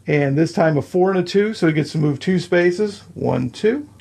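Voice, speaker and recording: A man with a slightly high voice walks through the rules of a board game step by step. He is patient and methodical, counting moves out loud and pausing to check that the listener follows. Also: animated, creaky. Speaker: explaining game rules at the table.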